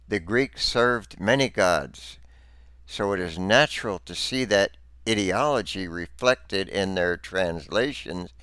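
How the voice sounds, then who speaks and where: A man delivering scripted religious teaching narration and scripture readings in a formal, deliberate, instructive manner. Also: low voice, a bit bright, smooth, no echo.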